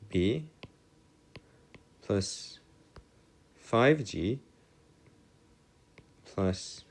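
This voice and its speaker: Male tutor, lowish voice, very unhurried, hesitant and self-correcting, formal turns of phrase. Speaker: maths tutor. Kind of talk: maths lesson, working through equations aloud.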